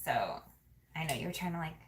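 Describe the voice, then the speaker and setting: A woman chats as co-host of a home livestream in a fairly low voice. Her manner is informal and somewhat hesitant. The room echoes around her, with slight background noise. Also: animated, a little fast. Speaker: family vlog co-host. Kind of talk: casual livestream chat. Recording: roomy echo with faint noise.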